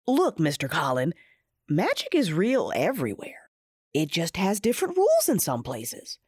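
A folksy, wise imaginary friend, with a rough, husky voice. The pitch swoops dramatically while the manner stays patient and gentle, in fairy-tale drama style. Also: female voice, wildly swooping pitch, moderate pace, no echo, clean studio sound, full character voice. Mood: earnest, explaining. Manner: patient, explanatory, theatrical.

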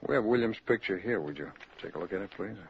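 Fbi man in old radio drama, quick clipped official talk, lowish voice, muffled. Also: lively intonation, slight background noise. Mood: businesslike. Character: male FBI agent, businesslike, brisk, decisive investigator. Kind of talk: 1940s radio drama acting.

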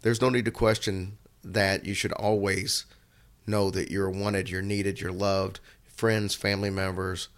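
A man co-hosting a podcast, hoarse and raspy from a cold, talking in an earnest, rambling way. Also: deep voice, little pitch movement, a little slow, fairly bright tone. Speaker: podcast co-host. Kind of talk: casual chat.

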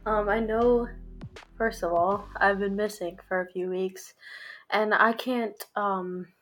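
A woman's voice: medium pitch, fairly even intonation, slow pace, a bit bright, faint music behind. A young woman hosting a podcast, chatting casually on her own with a congested, stuffy voice, rambling and self-deprecating, with lots of fillers. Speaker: podcast host. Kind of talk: casual solo chat. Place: home room, recorded on video.

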